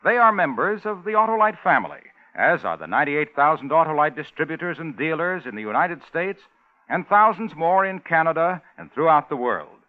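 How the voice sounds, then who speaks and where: A man's voice: fairly high pitch, sing-song, brisk. A man announcing scripted commercials in the style of 1950s radio advertising. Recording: tinny 1950s radio recording.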